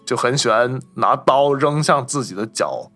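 A male podcast host with a bright, forward voice at an average pitch talks through film anecdotes. He explains things conversationally, with soft music playing behind him. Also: animated, moderate pace. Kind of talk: casual film discussion with anecdotes.

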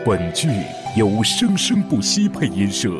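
Guy announcer reading credits, slow, lowish, music behind. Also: wildly swooping pitch.

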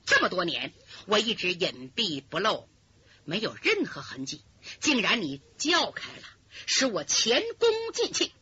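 A woman's voice: very high voice, very animated, slow, sharp tone, pitched above the performer's own voice, exaggerated voice. A woman voicing a ruthless, scheming swordswoman in disguise who scolds harshly in a cold manner, on a lo-fi recording. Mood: scornful.

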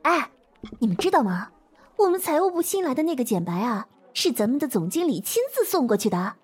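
A woman playing an excitable office gossip in a modern audio drama, mocking and conspiratorial. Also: high voice, brisk, breathy edge, full character voice. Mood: gleeful gossip.

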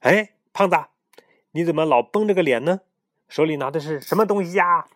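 A loud, nosy bird, as a man puts him on, talking high and eager. He wheedles and exclaims in lively swings of pitch. The sound is close and dry, like a storybook reading. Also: brisk, no room sound, close to an everyday voice. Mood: coaxing.

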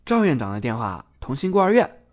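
A man's voice: medium pitch, big pitch swings, a little fast, darkish tone, lightly acted. A man acting a playful, stubborn young man in an audio drama. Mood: evasive, brisk.

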